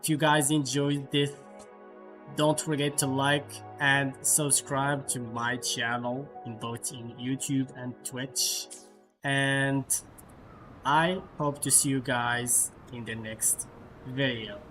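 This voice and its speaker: Slow-talking man commentating a game stream. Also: medium pitch, little pitch movement, fairly bright tone, faint music behind. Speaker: game livestream host. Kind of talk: casual live gameplay commentary.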